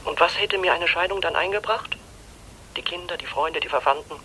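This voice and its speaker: Radio drama wife, middle-aged, yearning to escape, intense and pleading, piercingly bright tone. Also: female voice, on the low side, a little fast, a little hiss or hum, rough recording. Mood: bitter, weary. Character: disillusioned middle-aged wife longing to escape her life. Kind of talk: German radio play acting.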